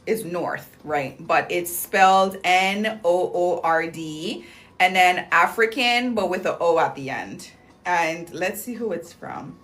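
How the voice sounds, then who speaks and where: A woman's voice: lowish voice, expressive, slow, a bit bright. A young woman hosting a casual livestream, chatting in a bubbly, slangy way with lots of "like" and "um" as she reads viewer comments. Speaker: livestream host promoting clinic deals.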